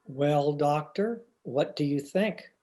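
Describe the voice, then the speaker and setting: Flustered, slow-talking radio husband man acting. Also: a little high, mellow. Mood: exasperated. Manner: hesitant, exasperated. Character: flustered, mild-mannered banker husband in a radio sitcom. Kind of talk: old-time radio comedy acting.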